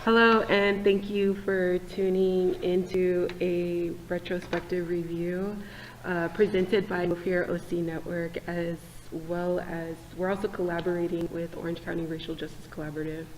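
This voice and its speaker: A woman hosting a casual panel discussion in a church meeting room, talking in a relaxed, hesitant way with many fillers. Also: lowish voice, normal speed, big-room sound, a little hiss or hum, low-quality recording. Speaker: host.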